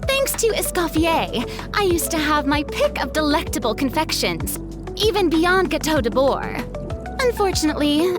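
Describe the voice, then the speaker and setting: An actress playing a proud yet vulnerable young female celebrity in a video game, with dramatic, refined, expressive delivery. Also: high-pitched. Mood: bright, chatty. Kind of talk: scripted game character voice lines.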